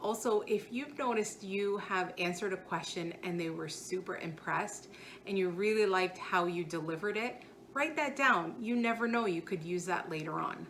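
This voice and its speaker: A woman's voice: medium pitch, a bit bright, slight background noise. A woman hosting as a career coach, giving scripted, instructional advice straight to the viewer. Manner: instructional, addresses viewer directly. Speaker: career coach and video host.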